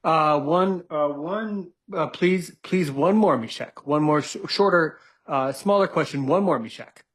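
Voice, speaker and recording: A man with a fairly high voice hosts a live interview show. He speaks casually and tends to double up on words and short phrases as he steers things along. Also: measured pace, a bit bright. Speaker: show host.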